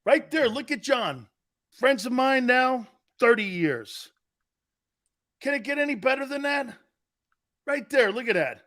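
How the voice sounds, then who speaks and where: A man's voice: very high voice, expressive, unhurried, pauses often, a bit bright. A middle-aged man hosting a sports talk show, telling stories with laughter and acting out other people's voices, then reading an ad. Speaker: host. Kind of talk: storytelling chat with ad read.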